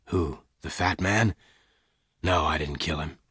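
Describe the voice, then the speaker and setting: A man voicing a calm, gruff male gunman who speaks low and quietly. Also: a little slow, no room sound, studio quality, heavily acted. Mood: quiet, hard.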